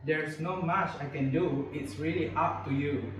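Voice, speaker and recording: A man's voice: fairly high pitch, fairly even intonation, measured pace, close to an everyday voice. A man acting a practical, blunt fairy godmother's assistant in a scripted readers' theatre. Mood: matter-of-fact. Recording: very echoey, distant mic, faint noise.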